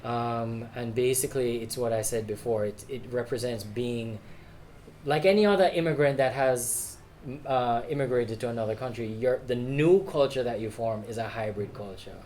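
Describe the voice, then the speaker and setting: A man's voice: mid-range voice, normal speed, darkish tone, slight background noise. Thoughtful man in an interview. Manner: thoughtful, searching for words. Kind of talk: reflective interview talk.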